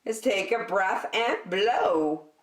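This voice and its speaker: A woman's voice: mid-range voice, expressive, slow pace. A woman voicing an encouraging male moose in a children's picture book, giving simple instruction. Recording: roomy, echoey, distant microphone.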